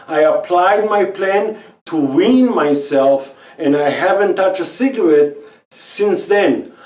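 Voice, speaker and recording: Israeli man with a lively high voice. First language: Hebrew. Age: middle-aged. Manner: earnest, slightly non-native phrasing. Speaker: speaker pitching a quit-smoking program. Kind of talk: personal testimonial talk. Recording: roomy, from some distance.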